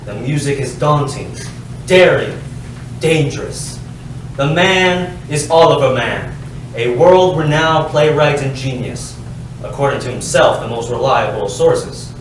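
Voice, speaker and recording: A man reading stage directions as scripted narration, with dramatic, deliberate phrasing. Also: medium pitch. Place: live staged reading, echoey indoor space. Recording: echoey room, distant mic, faint noise.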